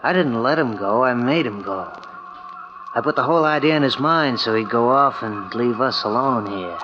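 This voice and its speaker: A man's voice: mid-range voice, moderate pace, lightly acted. An actor playing a smug, scheming, murderous man with cocky, slangy tough-guy talk in an old-time radio thriller. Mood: smug, menacing. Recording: muffled, noisy old radio recording.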